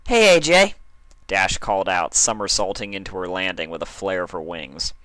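A man's voice: sits low, fairly even intonation, fairly quick, bright, forceful tone, no echo. A man reading scripted fiction aloud as the narrator of a story.

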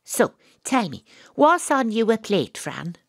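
A woman's voice: a little high, very animated, slow, vocal fry, slightly rough, no echo, clean studio sound, heavily acted. A woman playing a wry, world-weary private detective who narrates her own story in a confiding way in an audio drama. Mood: gentle. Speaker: narrator and lead character.

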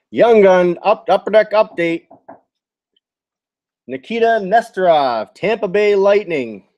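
A man's voice: high-pitched, a little mellow. A man hosting a card box break, announcing each item in clipped, short bursts. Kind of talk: live card-opening commentary, terse announcing. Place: card shop with onlookers seated nearby.

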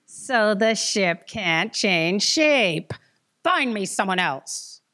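An actress as an impatient woman boss in a staged audio drama. She is commanding and prickly, with clipped orders and a mid-pitched voice that swings animatedly. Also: lively intonation, very slow, sharp tone, heavily acted. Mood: exasperated. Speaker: stage actor. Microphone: stand microphone on stage.

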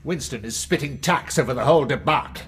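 An actor playing a stern British intelligence chief, formal and sarcastic, in a radio drama. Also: male voice, medium pitch, fast, a bit bright, close to an everyday voice. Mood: exasperated, dry.

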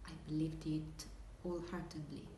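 A woman's voice: low voice, flat delivery, dark tone, natural voice. A young woman with an Italian accent playing a wistful, hesitant dreamer remembering lost hopes in a dramatic monologue. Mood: confiding, hopeful. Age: young adult. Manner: slow, hesitant, inward. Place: small indoor room, seated at table. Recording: echoey room, faint hiss.

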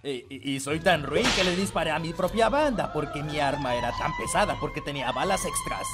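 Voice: mid-range voice, wildly swooping pitch, brisk. An actor voicing a boastful, cowardly boy outlaw in a cartoon dub, with Latin American Spanish, bragging and melodramatic. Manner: bragging, melodramatic, prone to yelping. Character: young boy gunslinger, boastful, cowardly, hapless would-be outlaw. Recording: loud music under the voice.

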